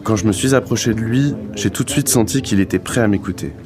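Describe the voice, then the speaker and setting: A man's voice: on the low side, quick pace. A man reading scripted first-person narration from a novel. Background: clear music.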